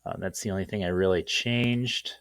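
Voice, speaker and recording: Low, creaky-voiced man giving a casual tutorial. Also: moderate pace, fairly bright tone, dry recording, clean studio sound. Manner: informal, self-deprecating, rambling. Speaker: tutorial host. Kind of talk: casual off-the-cuff screen-share tutorial.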